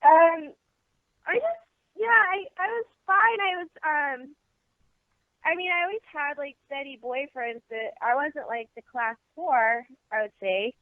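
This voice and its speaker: American girl on a tinny phone call, higher voice, candid casual interview answers. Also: unhurried, no echo. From Baltimore area, eastern United States. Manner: informal, candid. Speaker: interview guest, adult film performer. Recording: tinny narrowband phone line, dry.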